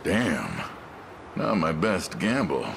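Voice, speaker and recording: A man's voice: rumbling low, huge pitch swings, takes it slowly, vocal fry, noisy background, full character voice. A man playing a wry, roguish mercenary boss in a video game, talking in folksy slang full of bravado. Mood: wry, calculating. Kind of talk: video game cutscene voice acting.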